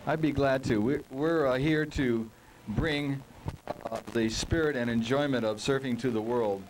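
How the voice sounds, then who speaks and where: A man being interviewed as a board-making guest, talking in a relaxed, rambling, earnest way. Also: mid-range voice, a little slow, recorded from a distance, noisy background. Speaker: surfboard maker guest. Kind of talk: casual interview.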